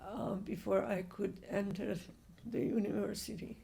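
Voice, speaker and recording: Gravelly-voiced elderly woman. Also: mid-range voice, fairly even intonation, warm tone, a little hiss or hum. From Hungary. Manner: slow, halting, with frequent restarts. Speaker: Holocaust survivor speaker. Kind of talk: answering audience questions.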